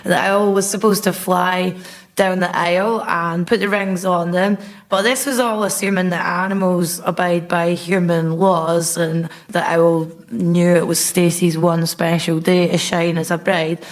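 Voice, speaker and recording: A woman's voice: fairly low pitch, little pitch movement, unhurried. A woman comedian on a panel show, telling comic anecdotes in a conversational way. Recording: noisy live comedy show recording. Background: audience laughter.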